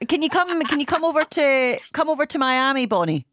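Fast-talking female radio co-host. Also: high voice, animated, a bit bright, dry recording. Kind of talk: casual radio chat. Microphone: studio microphone.

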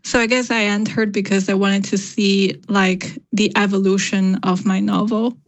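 A woman's voice: mid-range voice, little pitch movement, moderate pace, poor audio. Young female novelist, Chinese first language, thoughtful and hesitant answers. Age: young adult. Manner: thoughtful, with many fillers. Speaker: novelist guest. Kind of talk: radio interview.

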